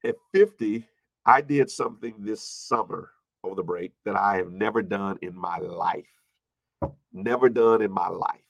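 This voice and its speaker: A man in his early fifties speaking as a pastor in an informal sermon, repeating phrases for emphasis and moving between loud and hushed delivery. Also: lowish voice, a little slow, gravelly, no room sound, poor audio. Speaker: pastor addressing an online congregation. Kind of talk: informal sermon with personal anecdotes and replies to viewer comments.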